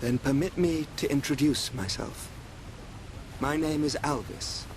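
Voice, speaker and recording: A man playing a calm, enigmatic young seer in a video game, speaking with formal, polite phrasing. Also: fairly high pitch, a little slow, a little hiss or hum. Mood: serene, knowing. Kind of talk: video game cutscene acting.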